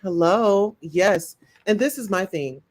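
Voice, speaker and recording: A woman's voice: medium pitch, animated, takes it slowly. Outspoken woman hosting a livestream. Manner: outspoken, addresses chat viewers directly. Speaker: livestream commentary host. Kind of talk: casual live-stream commentary.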